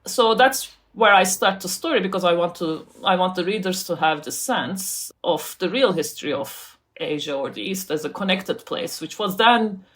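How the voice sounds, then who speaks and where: A woman's voice: fairly low pitch, expressive, normal speed, few pauses, fairly bright tone. A woman from Turkey, an author and scholar, talking casually as an interview guest, thoughtful, laughing often and restarting her sentences.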